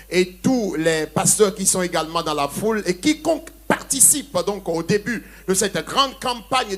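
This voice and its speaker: A middle-aged man with a Togolese accent, an evangelist giving solemn testimony to a crusade in short, declarative phrases. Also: high-pitched. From Togo. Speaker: evangelist preacher.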